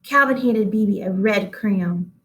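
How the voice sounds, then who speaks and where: A woman reading a children's storybook aloud in an expressive storytelling style. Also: mid-range voice, a little slow, low-quality recording.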